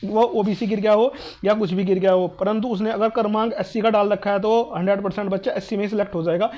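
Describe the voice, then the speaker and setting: Male online exam adviser, North Indian accent, even delivery, repetitive and reassuring, slightly muffled lo-fi recording. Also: high voice, fast talker. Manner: repetitive, reassuring, asks and answers own questions. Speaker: exam-advice video host. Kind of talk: informal explanatory talk to students.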